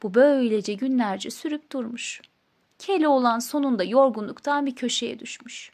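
A woman storyteller narrating a scripted fairy tale with an expressive storybook delivery. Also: a little high, moderate pace.

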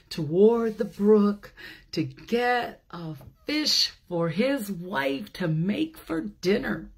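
A woman's voice: mid-range voice, creaky. An older woman hosting a children's story time, reading aloud with chatty asides and questions to her listeners. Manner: slow, asks listeners questions. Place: small room at home, indoors. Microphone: phone or webcam at arm's length.

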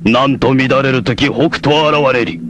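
A man's voice: mid-range voice, unhurried, over music, natural voice. A man voicing a noble, self-sacrificing blind warrior leader in a 1980s anime, with a solemn, formal, declamatory delivery. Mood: stern, confident. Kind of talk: 1980s anime martial-arts voice acting.

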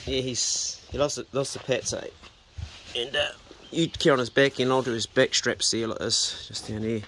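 A man's voice: mid-range voice, normal speed, slight background noise. Easygoing New Zealand homesteader man. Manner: relaxed, practical, coaxing the dog with "good boy" and "mate". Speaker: homesteading vlogger. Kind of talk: casual explanatory vlog, talking to his dog. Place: outdoors on a rural homestead and in bush. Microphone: body-worn action camera.

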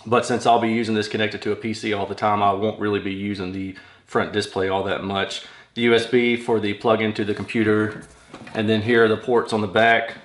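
A man in his thirties giving casual, think-aloud unboxing commentary in an informal manner, in a small workshop room. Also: lowish voice. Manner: informal, thinking aloud while handling items. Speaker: product reviewer unboxing audio gear. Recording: clear crinkling and handling noise. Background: packaging crinkles, clicks, rustling.

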